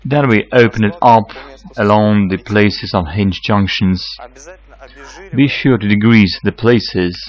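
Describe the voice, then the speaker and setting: A man in his forties giving a measured, scripted how-to explanation as a technical consultant. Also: on the low side, highs cut off. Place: electronics workshop, indoors.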